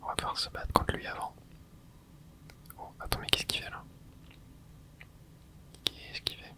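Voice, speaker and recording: Young man whispering gentle gameplay commentary, hushed and soft throughout, with excited little asides. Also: measured pace. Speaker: gaming ASMR creator.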